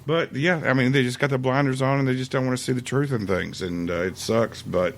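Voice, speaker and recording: A man's voice: mid-range voice, brisk, creaky. A man from Middle Tennessee, rambling in a casual podcast chat with many fillers. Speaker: podcast co-host.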